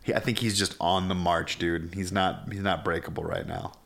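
A blunt man co-hosting a podcast, speaking in a slightly low voice. He delivers opinions emphatically and peppers them with swearing. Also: steady tone, moderate pace, gravelly, a bit bright. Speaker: podcast co-host. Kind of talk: casual chat.

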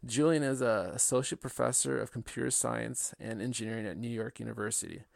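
A man's voice: medium pitch, fairly even intonation, a little fast, vocal fry, no room sound, studio quality. A man hosting a podcast, introducing his guest in an upbeat, casual way with some fillers. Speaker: podcast host.

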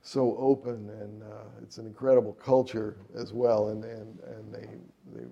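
A middle-aged man talks about his music career in a relaxed, meandering interview. His voice is gravelly and dark, with an even, flat delivery. He pauses often on fillers and restarts his sentences. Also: fairly low pitch, muffled recording. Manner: rambling, many fillers and restarts. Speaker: jazz trumpet player and composer.

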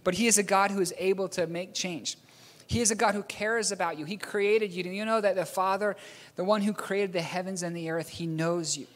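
A man's voice: high voice, fairly even intonation, brisk. A man from New Zealand preaching a storytelling sermon, rambling a little and correcting himself with a chuckle. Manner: rambling, self-correcting, chuckles at own slips. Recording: long hall reverb.

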